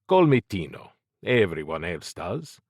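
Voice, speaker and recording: A man's voice: deep voice, big pitch swings, unhurried, a little mellow, husky, no room sound, clean studio sound, full character voice. A male narrator voicing a cagey, cheerful wealthy man in an audiobook, light and dismissive in manner. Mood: light, wry. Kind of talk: audiobook character dialogue.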